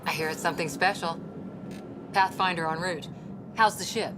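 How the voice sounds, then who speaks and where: A woman's voice: fairly low pitch, fairly even intonation, moderate pace, background noise, natural voice. A woman playing an assured, wry space crew officer in a video game, with an easygoing delivery. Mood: wry, upbeat. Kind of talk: video game cutscene dialogue.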